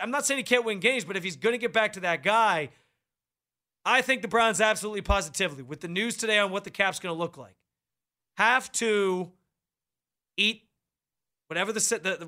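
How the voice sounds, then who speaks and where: A man hosting a sports radio show in a studio, talking loudly and projecting into a close microphone with fired-up opinions. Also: high-pitched. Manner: loud, projecting delivery.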